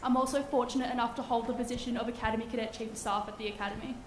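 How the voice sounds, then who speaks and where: Young Australian female cadet, brisk and articulate, slightly high; echoey room. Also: steady tone, slight background noise. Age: young adult. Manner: confident, articulate. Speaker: trainee officer. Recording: echoey hall.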